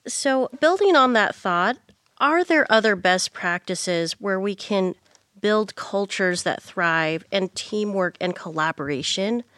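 A woman hosting an interview, thanking her guest and asking measured, multi-part questions. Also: medium pitch, animated, fairly bright tone, no echo, studio quality. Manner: measured, asks layered questions.

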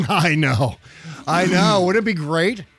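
A man's voice: fairly high pitch, expressive, slow, fairly bright tone, faint music behind. A middle-aged man hosting a podcast, talking casually about politics with frequent fillers and swearing. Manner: conversational, profane, many fillers. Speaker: podcast host.

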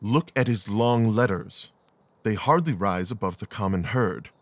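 A man acts a gentleman detective in an audio drama, his voice on the low side and recorded close and dry. He is coolly rational and aloof, clipping his words with analytical precision. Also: expressive, unhurried, no echo. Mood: cool, detached.

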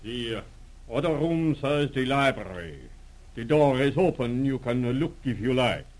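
Man playing a halting, guarded manservant. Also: medium pitch, animated, a little mellow, close to an everyday voice. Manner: halting, formal, polite. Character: deferential, guarded male household servant. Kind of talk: 1940s radio drama acting.

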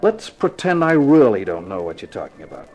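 Low-voiced hardboiled private eye. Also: male voice, very animated, fairly quick, a little mellow. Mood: cool, defiant. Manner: terse, wry, slangy tough-guy talk. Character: hardboiled male private eye, cool, wisecracking, unafraid. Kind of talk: 1950s radio detective drama acting and narration. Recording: vintage 1950s radio recording with music.

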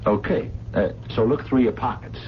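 An actor playing a gruff, sardonic working-class diner man in a radio drama, impatient and full of slangy grumbles. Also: medium pitch, moderate pace, natural voice. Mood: probing. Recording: dull, noisy vintage radio broadcast.

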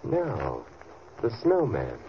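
An actor playing a suave, dryly witty gentleman sleuth in an old-time radio drama, speaking in an unhurried, wry way. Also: deep voice, huge pitch swings, over loud music, exaggerated voice. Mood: puzzled. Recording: dull, noisy old radio recording.

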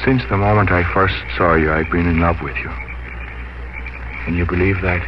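An actor playing an evasive, earnest young suitor who speaks in a halting, stammering way, in 1950s radio drama style. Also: male voice, low voice, fairly even intonation, natural voice. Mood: earnest, nervous. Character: evasive, hesitant young suitor, well-off partner's-son type. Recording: muffled noisy old radio broadcast.

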